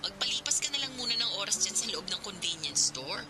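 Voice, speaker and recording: A woman's voice: mid-range voice, lively intonation, quick pace, close to an everyday voice. A woman playing an older sister anxiously questioning her younger sibling over the phone in a radio drama. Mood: worried. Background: background music.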